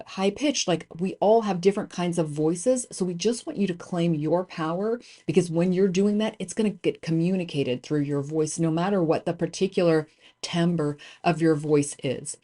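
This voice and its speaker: A woman with a low-pitched voice hosts a business podcast. She speaks emphatically and conversationally, often pausing on fillers and restarting her sentences. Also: mellow. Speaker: podcast host. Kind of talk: podcast intro and interview.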